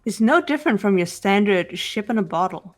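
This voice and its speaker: A woman's voice: mid-range voice, expressive, fairly quick, close to an everyday voice. A woman playing a matter-of-fact teenage student in a voiced video game, over music. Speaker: player voicing a game character. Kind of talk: voiced let's play, reading game script.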